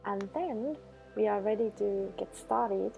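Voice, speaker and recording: A woman leading a guided meditation, giving instructions in slow, measured phrases. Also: medium pitch, vocal fry, dark tone, rough recording. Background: background music.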